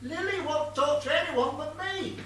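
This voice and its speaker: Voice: high voice, normal speed, natural voice. An actor playing a muddled, literal-minded gardener in a comic stage play, stammering and earnestly deferential as the character is questioned. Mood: earnest, pleading. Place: stage of a small theatre. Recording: distant echoey theatre recording, faint noise.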